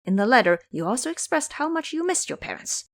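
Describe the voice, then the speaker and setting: A woman's voice: a little high, big pitch swings, brisk, voice pushed up. A woman voicing a cocky, teasing male monkey king in an audio drama, boastful, preachy and theatrical in manner. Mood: reassuring.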